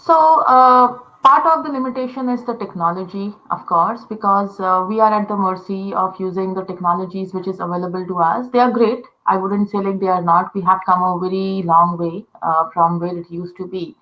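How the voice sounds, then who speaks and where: A woman's voice: mid-range voice, normal speed, few pauses, highs cut off. A woman from India with an Indian accent, a native Telugu speaker, explaining conversation design in casual talk full of fillers like "uh" and "you know".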